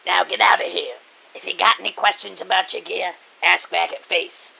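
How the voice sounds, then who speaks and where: A performer voicing a grumpy, dismissive female shopkeeper in an audio drama, with gruff, slangy speech. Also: medium pitch, fairly even intonation, normal speed, bright, forceful tone, full character voice, rough recording. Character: curt, grumpy female shopkeeper dealing in gadgets.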